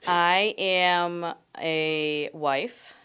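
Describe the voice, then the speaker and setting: A woman whose first language is Portuguese, speaking as a clinician guest in a casual podcast interview, relaxed and friendly with frequent fillers. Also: lowish voice, very slow, clean tone.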